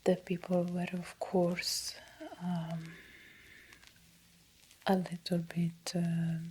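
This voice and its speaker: A woman with an Italian accent playing an uneasy doctor confessing in a breathy, halting ASMR roleplay whisper. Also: fairly low pitch, completely flat delivery, mellow, slight background noise. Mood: hesitant, defensive. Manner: slow, halting, hesitant. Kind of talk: soft-spoken ASMR roleplay.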